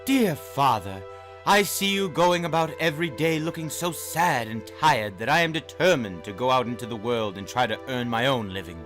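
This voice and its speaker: A man's voice: medium pitch, lively intonation, moderate pace, fairly bright tone, natural voice. A man voicing a brave, devoted young soldier in a storybook tale, declamatory and earnest. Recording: music under the voice.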